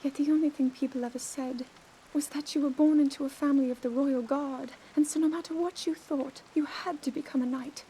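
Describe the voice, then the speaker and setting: Woman doing earnest young princess, high but mellow voice, bit of hiss. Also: fairly even intonation, fairly quick, close to an everyday voice. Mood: pensive. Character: earnest young princess scholar, anxious, thoughtful. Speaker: game character. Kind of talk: game cutscene dialogue.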